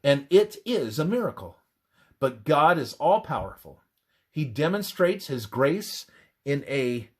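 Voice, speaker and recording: A man narrates a devotional text with solemn emphasis. His pace is slow and deliberate, and his voice has a husky grain. The pitch moves widely as he stresses each measured phrase. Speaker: narrator reading a religious book.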